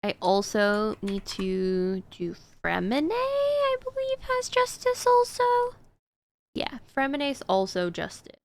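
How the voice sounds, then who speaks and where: A woman's voice: medium pitch, huge pitch swings, slow pace. A woman streaming a game, chatting casually to her viewers in a rambling way with frequent fillers. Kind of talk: casual chat while gaming.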